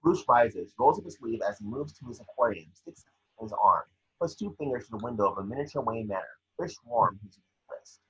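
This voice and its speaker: A man with a husky, raspy voice reading scene descriptions for a screenplay table read in a brisk, vivid storytelling way. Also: medium pitch, expressive, a bit bright. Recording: dull lo-fi call audio.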